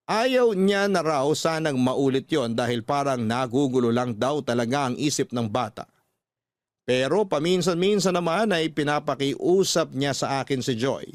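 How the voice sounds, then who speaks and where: A man's voice: mid-range voice, dry recording, clean studio sound. A man with a Filipino accent narrating a scripted, sentimental letter as a radio storyteller. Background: background music.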